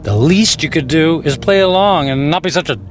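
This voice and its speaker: An actor playing a brash, skeptical workaholic in a radio drama, with a wry, flippant way of talking. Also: male voice, fairly high pitch, fairly quick, natural voice. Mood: annoyed, sarcastic. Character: skeptical, sarcastic workaholic man, brash and mocking. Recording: music behind the voice.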